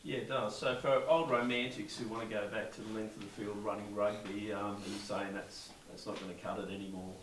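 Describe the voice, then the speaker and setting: A man's voice: fairly low pitch, flat delivery, brisk, few pauses, noisy background. A male journalist asking rambling, conversational questions at a press conference. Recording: distant mic in echoey room.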